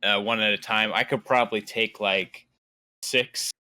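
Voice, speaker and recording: A man speaking as a guest creator in a casual podcast interview, explaining himself in a measured way. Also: on the low side, steady tone, bright, forceful tone. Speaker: guest content creator and commentary YouTuber.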